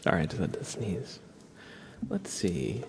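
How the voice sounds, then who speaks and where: A man with a congested, stuffy nose chats casually with viewers as an ASMR livestream host, hushed and often whispery. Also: low-pitched, very animated, unhurried, creaky, noisy background.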